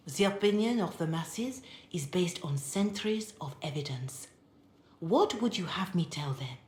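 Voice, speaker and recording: An actress playing a formal, cautious woman of rank who speaks in a measured, deferential manner. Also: on the low side, animated, a little mellow. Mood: disapproving. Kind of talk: video game dialogue acting. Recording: roomy game capture, faint background noise.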